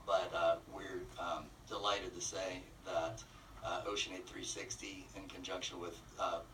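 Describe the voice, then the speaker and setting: A man explaining things conversationally as a radio interview guest, often pausing mid-phrase. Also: deep voice, little pitch movement, sharp tone, distant mic, a little hiss or hum, low-quality recording. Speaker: interview guest, nonprofit representative.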